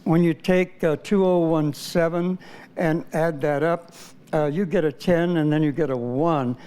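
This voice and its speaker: A man talks as a guest, his voice slightly high with a warm, mellow tone. He explains his ideas patiently but hesitantly, with frequent fillers and restarts. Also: steady tone, measured pace, smooth. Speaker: numerology guest. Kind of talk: explanatory interview talk.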